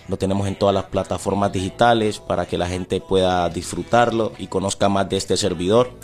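Male, deep-ish low pitch, Colombian Pacific accent, casual interview answers, halting with repeated words, faint background music. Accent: Colombian Pacific coast. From Timbiquí, Pacific coast of Colombia.

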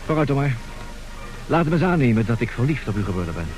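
An actor in an old radio comedy playing a polite, evasive, hot-headed gentleman suitor with a formal, hesitant, theatrical manner. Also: medium pitch, very animated, fast, lightly acted. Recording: old radio play, music behind.

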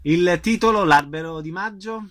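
A man's voice: high voice, moderate pace, fairly bright tone. A man hosting a radio programme, interviewing guests and announcing events.

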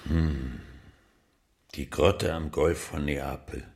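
An actor playing a hesitant, awestruck museum curator in a radio drama, halting and repeating himself. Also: male voice, rumbling low, steady tone, vocal fry, a little mellow, full character voice. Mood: reserved, musing. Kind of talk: naturalistic radio drama dialogue.

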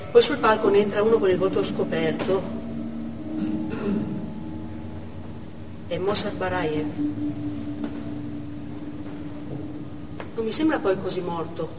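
An actress playing a shaken survivor of a hostage siege, delivering a deliberate, dramatic stage monologue. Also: female voice, mid-range voice, close to an everyday voice. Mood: grim, wry. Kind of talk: theatrical monologue, first-person narration on stage. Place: theatre stage, distant microphone. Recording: echoey theatre hall from far off, noisy.

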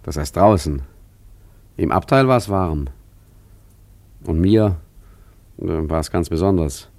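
A man's voice: on the low side, a little mellow, no echo. An actor playing an unflappable, rambling male traveller in a German radio play, hesitant and wryly correcting himself. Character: rambling, unflappable, tipsy male traveller recounting his misadventure. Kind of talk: German radio play acting, chatty first-person narration.

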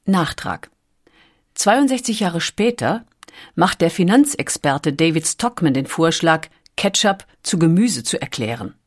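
A woman's voice: on the low side, big pitch swings, a little slow, breathy edge. A woman reading a scripted documentary audiobook as its narrator. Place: recording studio.

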